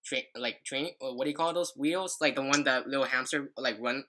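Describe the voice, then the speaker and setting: A woman's voice: extremely low pitch, brisk, fairly bright tone. A young adult woman telling a personal story in casual podcast chat, rambling and often stumbling over her words.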